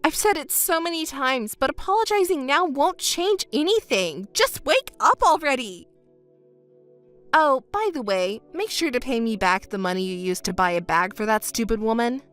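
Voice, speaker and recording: A woman's synthetic voice playing a scornful young woman confronting her cheating ex with sharp, cutting retorts in an audio drama. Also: high-pitched, lively intonation, moderate pace, clear, resonant tone, soft background music.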